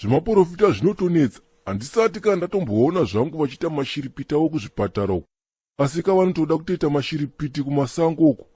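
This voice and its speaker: A man's voice: on the high side, husky. An actor voicing a chatty Zimbabwean cartoon church member who argues and laughs as he talks. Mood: lively. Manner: fast, excitable, argumentative and laughing. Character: chatty adult male apostolic church member. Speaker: cartoon character, Chikombera. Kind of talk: comic cartoon dialogue.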